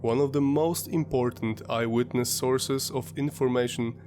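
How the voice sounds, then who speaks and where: Brief: male host, stuffy sinus-congested voice, mid-pitched, measured documentary read, faint music underneath. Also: fairly even intonation. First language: Polish. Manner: measured, explanatory storytelling. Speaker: history channel host. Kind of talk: scripted documentary narration.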